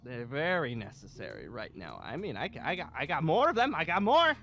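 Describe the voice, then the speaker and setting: A man playing a pompous, commanding colonel of a survivor base in an improvised Minecraft zombie roleplay, with halting, theatrical delivery. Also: mid-range voice, huge pitch swings, gravelly, crowd noise. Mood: threatening.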